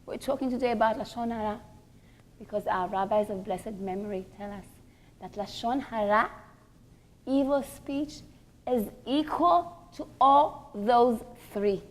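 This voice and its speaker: A woman's voice: on the high side, unhurried. A woman giving a religious lecture, teaching emphatically, repeating key phrases and posing rhetorical questions.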